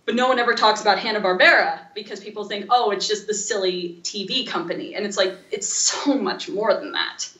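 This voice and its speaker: A woman co-hosting a podcast in casual, opinionated chat, speaking in an animated, emphatic way. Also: mid-range voice, fairly quick, few pauses, roomy sound, low-quality recording. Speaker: podcast co-host.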